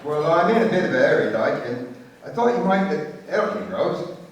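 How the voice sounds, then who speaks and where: An actor playing a shifty, casual pub acquaintance, hesitant and probing, in a radio play. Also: male voice, a little high, very animated, normal speed. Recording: very echoey, distant from the mic.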